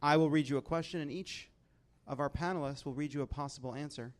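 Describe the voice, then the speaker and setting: A man's voice: a little high, fairly even intonation, quick pace. A man hosting a comedy game show in front of a live audience, sarcastic and often laughing as he talks. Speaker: host.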